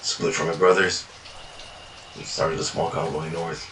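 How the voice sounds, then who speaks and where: A man with a very deep voice tells his story in a tired, world-worn way, swearing as he goes. He sits back from the mic in an echoey room. Steady ambient noise runs underneath. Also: unhurried, recorded from a distance. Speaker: narrator. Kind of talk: first-person fiction journal narration.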